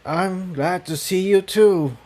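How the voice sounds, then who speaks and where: A man voicing a cautious male unicorn pony, serious and gentle, in a storybook adventure. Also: high-pitched, slow, voice pushed up. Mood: puzzled.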